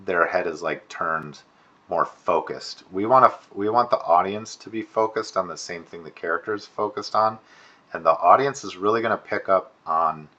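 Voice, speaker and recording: A man's voice: low-pitched, pushed and bright, muffled recording. A man giving informal spoken feedback as an instructor, thinking aloud with hedges and restarts, recorded indoors at a computer. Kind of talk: informal spoken critique of student work.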